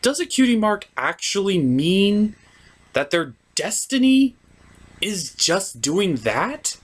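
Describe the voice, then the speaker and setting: Animated, higher-voiced man reviewing cartoons. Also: slow pace. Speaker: host of a cartoon review show. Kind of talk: comedic scripted review commentary with asides.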